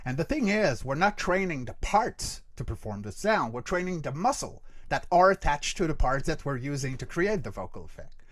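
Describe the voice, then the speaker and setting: A man's voice: on the high side, big pitch swings, moderate pace, hardly any pauses, a bit bright. A middle-aged man speaking as an expert interview guest, explaining earnestly and emphatically and often checking that the listener follows. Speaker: interview guest, creature voice actor and vocal coach.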